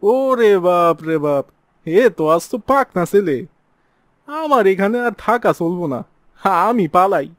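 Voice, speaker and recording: A man's voice: sits high, sing-song, measured pace, no room sound. A man voicing a timid, fretful village friend in a cartoon. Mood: frightened. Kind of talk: cartoon dialogue acting.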